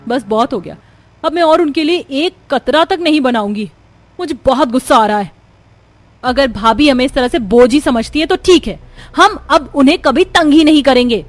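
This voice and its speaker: A woman voicing characters in a cartoon family story with animated, emphatic delivery. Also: high voice, normal speed. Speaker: voice actor for several cartoon characters. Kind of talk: cartoon dialogue acting.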